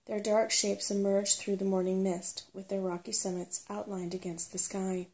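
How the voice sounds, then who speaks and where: A woman's voice: medium pitch, flat delivery, normal speed, darkish tone, low-quality recording. A woman reading a children's fantasy novel aloud as ASMR storybook narration. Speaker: storybook reader.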